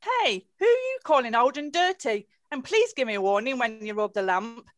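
An obliging old genie of the lamp from an amateur pantomime, read by a woman. The voice is high and very animated, making grand offers of service. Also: moderate pace, no room sound, studio quality. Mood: indignant. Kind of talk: amateur pantomime script reading. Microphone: computer mic on video call.

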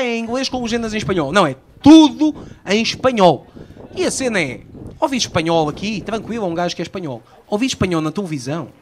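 High-voiced Portuguese comedian on stage. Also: male voice. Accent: European Portuguese. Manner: animated storytelling, acting out quoted voices. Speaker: stand-up comedian. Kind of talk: live stand-up routine. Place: small outdoor stage at night. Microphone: handheld microphone.

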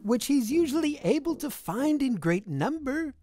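A woman's voice: high-pitched, sing-song, moderate pace, mellow. A woman reading a rhyming children's picture book aloud in a playful, theatrical, sing-song way. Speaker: storybook reader. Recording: music behind the voice. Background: music.

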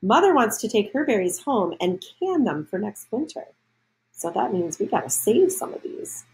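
A woman voicing a gentle mother who instructs her small daughter in a children's storybook. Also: mid-range voice, very animated, moderate pace, poor audio. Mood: gently instructing.

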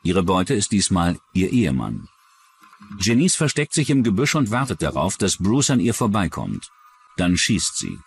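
Deep, animated male true-crime narrator. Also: moderate pace, vocal fry, slight background noise. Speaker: documentary narrator.

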